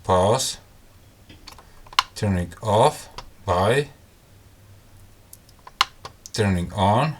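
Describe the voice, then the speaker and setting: A man's voice: fairly low pitch, expressive, very slow, long pauses, noisy background. A man hosting a casual hands-on product review, speaking English with non-native phrasing. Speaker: host of a gadget review channel. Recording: loud music behind the voice.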